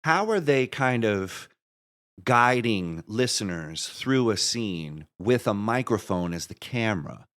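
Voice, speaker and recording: Male interview guest, lower-pitched voice, casual and enthusiastic, explains his craft like a keen storyteller. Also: very animated, takes it slowly, a bit bright. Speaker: interview guest, audio drama creator.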